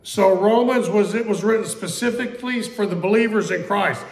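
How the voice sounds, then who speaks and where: Gravelly high-voiced male preacher, echoey church. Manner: conversational preaching, repeats questions, quotes scripture slowly. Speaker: pastor. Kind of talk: sermon. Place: church sanctuary.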